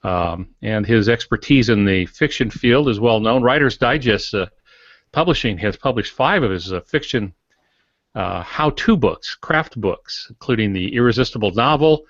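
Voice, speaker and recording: A man in his sixties co-hosting a podcast, introducing things informally in a halting, folksy, lightly joking way. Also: medium pitch, expressive, no echo, lo-fi sound. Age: 60s. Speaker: podcast co-host.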